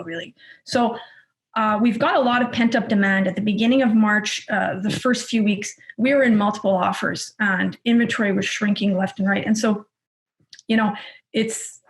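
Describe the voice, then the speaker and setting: A woman from Montreal speaks as an investor guest on a video call. Her delivery is measured and explanatory, walking through numbers step by step. Her pitch sits in a middle range. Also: low-quality recording. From Montreal, Canada. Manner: measured, explanatory, figures-heavy. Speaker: real estate investor guest. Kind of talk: video call panel talk.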